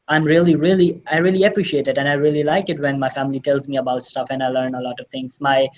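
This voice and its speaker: A man's voice: mid-range voice, flat delivery, fast talker. Teen male from Pakistan, native Urdu, eager interview guest, slightly dull audio. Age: teens. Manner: earnest, enthusiastic, repeats "really" often. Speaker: exchange student guest. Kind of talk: casual interview answers.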